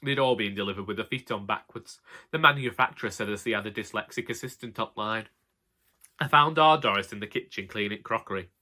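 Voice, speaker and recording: A man's voice: on the low side, brisk, sharp tone. A man with a Northern English accent narrating a comic first-person audiobook as a droll, grumbling, long-suffering husband.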